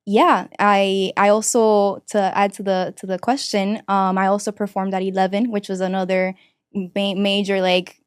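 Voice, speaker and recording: A woman's voice: mid-range voice, level tone, normal speed, clear, resonant tone, no echo, clean studio sound. Chatty young woman from Miami. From Miami, United States. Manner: chatty, many fillers and restarts. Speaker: singer-songwriter guest. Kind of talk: casual interview answers. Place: podcast studio.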